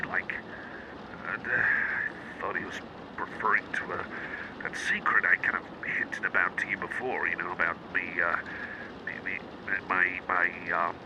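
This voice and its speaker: A man's voice: fairly low pitch, background noise. A man playing a shy, nervous cyborg friend in an ASMR roleplay, rambling hesitantly and apologetically as if chatting one-on-one. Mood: nervous, evasive. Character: shy, nervous young male cyborg chum, rambling and awkward. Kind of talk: ASMR roleplay, intimate one-sided chat.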